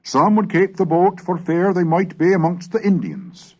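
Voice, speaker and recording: Formal man narrating, widely varied pitch. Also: very animated, normal speed, highs cut off. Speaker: reader and narrator of a recorded history lesson. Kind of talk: scripted narration with archaic historical readings.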